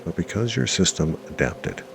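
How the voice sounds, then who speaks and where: A man narrating a guided meditation in a measured, reassuring way, leaving long pauses between short phrases. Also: sits low, lively intonation, creaky, noisy background. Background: music.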